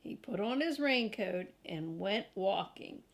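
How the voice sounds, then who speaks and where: Older woman, storybook read-aloud, lively swooping intonation, slow pace, grandmotherly, chatty with young listeners. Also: medium pitch. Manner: expressive, emphasizes and draws out words for children. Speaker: grandmother reading a children's book aloud.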